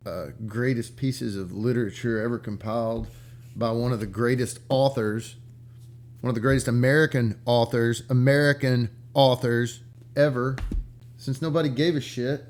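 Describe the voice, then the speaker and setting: A man's voice: medium pitch, a little mellow. A man casually rambling through stories like a vlog host, irreverent and slangy with some swearing, often stopping to repeat his words. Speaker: vlog host and book collector. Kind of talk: casual rambling storytelling about rare books.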